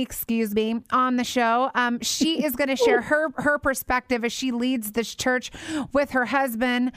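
A woman's voice: a little high, fairly bright tone, clear, resonant tone, no room sound, studio quality. A woman hosting a radio talk show, chatting casually and rambling with lots of fillers and restarts. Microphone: studio microphone.

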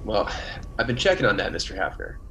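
An actor playing a conscientious, measured male biologist on a space colony in an old-time radio science-fiction drama. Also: lowish voice, very animated, vocal fry, bright, forceful tone, a little hiss or hum, exaggerated voice. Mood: matter-of-fact.

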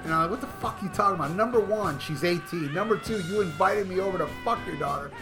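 A middle-aged man hosts a casual podcast, his voice sitting a little higher than most men's. He tells a long, profane story with stumbling restarts. Music plays clearly beneath him throughout. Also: fast. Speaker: podcast host. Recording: music playing clearly underneath.

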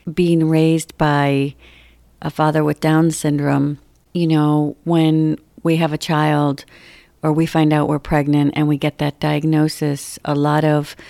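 Low-voiced earnest woman podcast host. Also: gravelly, mellow, dry recording. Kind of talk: podcast interview.

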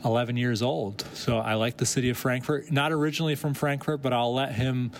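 Relaxed man hosting an interview. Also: mid-range voice, fairly quick. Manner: relaxed, encouraging with frequent mm-hmms. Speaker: podcast host. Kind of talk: casual interview. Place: conference venue.

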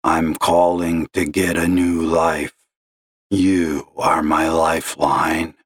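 A man voicing a miserable, gravel-voiced caller who talks slowly and gloomily. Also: rumbling low, little pitch movement, dry recording, studio quality, pitched below the performer's own voice, exaggerated voice. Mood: gloomy, bitter. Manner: slow, gloomy and accusing. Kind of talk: audio story reading.

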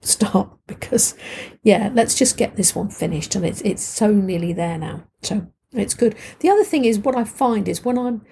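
A middle-aged woman with a husky, slightly croaky voice, hosting a podcast in a chatty, informal, rambling way. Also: medium pitch, lively intonation, moderate pace, dark tone, slight background noise. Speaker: podcast host. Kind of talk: casual conversational podcast hosting.

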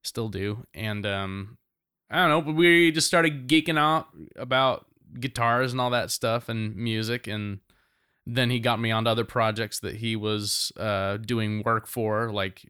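A man's voice: lowish voice, measured pace, pushed and bright, clean tone, no room sound, studio quality. A man chatting casually as a guest, rambling through his story with lots of restarts. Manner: rambling, many fillers and restarts. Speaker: band member, guest. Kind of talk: casual podcast chat.

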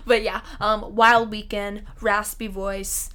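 A woman in her twenties co-hosting a podcast in casual chat, her voice slightly raspy today, bubbly and rambling. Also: medium pitch, flat delivery, slow pace, fairly bright tone. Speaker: podcast co-host.

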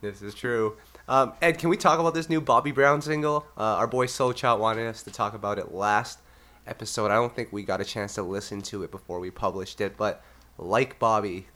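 A man's voice: on the low side, steady tone, a little fast, bright, forceful tone. A Canadian man hosting a podcast, congested and a bit nasal from illness, easygoing and laughing often. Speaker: podcast host. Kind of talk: casual chat.

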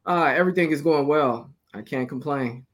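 A man in his forties, from Alabama, talking as an interview guest about his business. He speaks easily, laughing now and then and pausing with many "uh"s. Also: on the high side, fairly bright tone. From Alabama, USA. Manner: easygoing, laughs often, many "uh" pauses. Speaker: guest, cigar company owner. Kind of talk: casual interview.

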